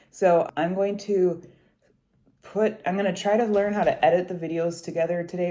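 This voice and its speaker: Young woman, congested, low mellow voice, casual rambling vlog chat at a kitchen table. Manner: rambling, conversational, hushed while kids nap. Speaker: cross-stitch vlogger. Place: kitchen table of a rental house.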